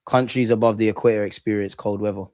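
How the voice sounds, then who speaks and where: A young man with a London accent hosting a football watch-along, chatting casually and excitably in slang with his viewers. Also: fairly low pitch, fast talker. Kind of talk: casual live reaction commentary and chat with viewers.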